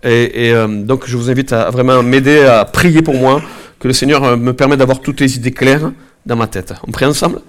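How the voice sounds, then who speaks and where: A man preaching while fighting a head cold, his voice sitting in an ordinary middle pitch. He talks to the congregation in a loose, conversational way, often pausing with fillers and side remarks before getting back to his point. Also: steady tone. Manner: conversational, hesitant, many fillers and asides. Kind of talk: informal sermon with prayer. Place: church gathering, also streamed online.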